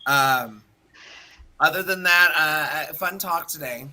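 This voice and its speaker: A man with a raspy, hoarse voice, chatting casually as podcast co-host and drag performer, self-mocking with quick asides. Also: on the high side, bright, forceful tone. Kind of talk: casual chat.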